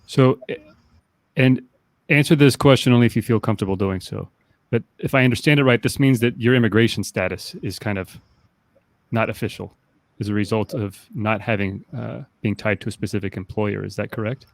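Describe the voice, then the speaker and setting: A man's voice: fairly low pitch, brisk, vocal fry, no echo. A man co-hosting a podcast panel, moderating with careful, wordy questions. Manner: careful, wordy, managing time.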